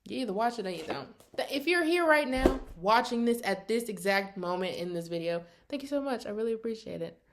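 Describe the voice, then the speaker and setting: Female, early 20s, American. Slightly high voice. Casual vlog storyteller, animated, sassy and full of slang. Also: fairly quick, few pauses, background noise. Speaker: vlogger. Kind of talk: casual, chatty personal vlog.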